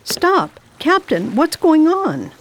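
A woman's voice: sits high, huge pitch swings, takes it slowly, background noise. A woman playing a proper, composed lady traveler in a radio drama, narrating her diary between scenes. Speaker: traveling narrator, Miss Gregory.

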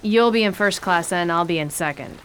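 A woman's voice: lowish voice, fairly quick, a bit bright, slight background noise. Brash, slangy woman in a radio play. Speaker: rough-spoken fellow passenger, Nora. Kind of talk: radio drama acting.

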